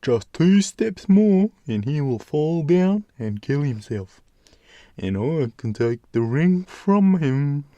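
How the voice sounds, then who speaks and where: Loud, booming, scheming fairytale giant. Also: male voice, very animated, no room sound, lo-fi sound. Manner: booming, drawn-out, forceful delivery. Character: big scheming, bullying male fairytale giant. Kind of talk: storybook character voice.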